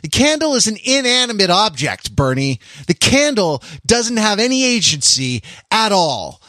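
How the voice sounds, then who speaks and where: Animated man with a higher-pitched voice. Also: moderate pace, dry recording, clean studio sound. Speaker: podcast co-host. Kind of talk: casual pop culture discussion.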